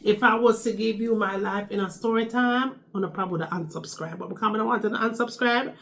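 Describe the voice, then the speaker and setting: A woman's voice: medium pitch, a little fast, a bit bright. Chatty Jamaican woman telling a story. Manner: chatty, repeats phrases, frequent "okay?" tags. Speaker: vlogger. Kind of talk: casual storytime chat.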